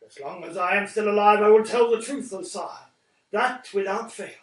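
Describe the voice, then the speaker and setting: A defiant Cossack leader in a radio play, as a man acts him out, delivering impassioned oratory in a high voice. He sounds set back from the mic in a roomy, echoing space. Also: normal speed, distant mic, natural voice. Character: defiant Cossack leader pleading before a tsar.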